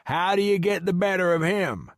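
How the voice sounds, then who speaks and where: A man's voice: sits high, normal speed, dry recording, studio quality, voice pushed up. A man voicing a supportive, easygoing male friend who coaxes and encourages, in audiobook dialogue. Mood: coaxing, probing. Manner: coaxing, encouraging, questioning.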